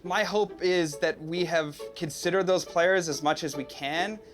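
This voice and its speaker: A man's voice: on the high side, unhurried, a bit bright. A man speaking as a game developer, explaining design choices in a conversational promotional interview style. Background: music.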